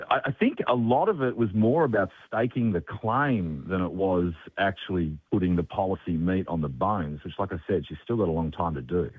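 A man's voice: sits low, breathy edge, no echo. A male political consultant giving analysis on talk radio, hesitant, with many fillers and restarts. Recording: tinny phone line.

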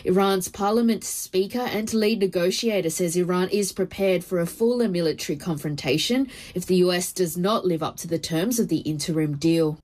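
A woman's voice: on the low side, moderate pace, few pauses, darkish tone. A woman reporting international news for radio from a script.

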